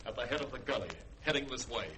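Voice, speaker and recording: A man's voice: mid-range voice, fast talker, bright, forceful tone, natural voice. A man acting a dutiful spaceship crewman calling out reports in a sci-fi radio drama. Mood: tense, alert. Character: dutiful male crewman reporting radar contacts. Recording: noisy vintage radio drama recording.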